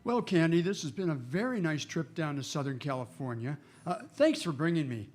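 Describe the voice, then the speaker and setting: An amiable male inspector played by an actor from a vintage radio comedy-drama. He talks casually and a little hesitantly at a medium pitch. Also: lively intonation, fairly quick, darkish tone, soft background music, natural voice.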